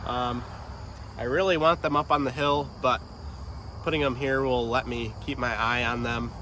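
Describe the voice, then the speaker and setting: Man with a head cold, stuffy-voiced; rambling, halting farm vlog outdoors, faint wind and insect noise on a camera mic. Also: mid-range voice, steady tone, pushed and bright. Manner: rambling, hesitant, many ums. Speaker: farm vlogger. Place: outdoors in a windy pasture. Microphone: camera mic, no external mic.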